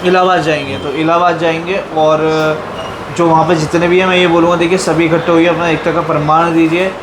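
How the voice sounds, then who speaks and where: A man's voice: fairly high pitch, a little fast. A man hosting a casual video interview in an agreeable, conversational way. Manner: agreeable, frequent short affirmations. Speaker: host and interviewer. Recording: echoey room with steady background noise.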